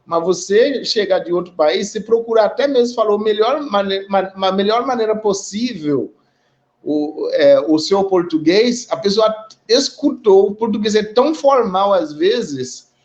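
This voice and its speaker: A man with a Haitian accent talks unhurriedly over a video call, pausing often and checking in with tag questions. His voice sits fairly high, and the stream audio is dull and lo-fi. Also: darkish tone. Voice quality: measured, reflective, with frequent pauses and tag questions. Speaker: guest representing an immigrant community. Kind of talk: conversational testimony in a live online discussion.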